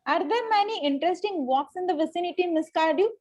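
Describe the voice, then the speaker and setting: Woman playing a high-pitched haughty young Victorian lady. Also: animated, quick pace, a little mellow. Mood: icily polite. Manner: formal, stumbles over lines. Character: haughty young upper-class Victorian lady, coolly polite. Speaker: table-read participant. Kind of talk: amateur reading of a Victorian comedy play.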